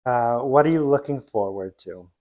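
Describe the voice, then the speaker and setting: Mellow-voiced man firing off questions. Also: medium pitch, expressive. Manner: brisk, brief questioning. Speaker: interviewer. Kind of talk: quick-fire interview questions.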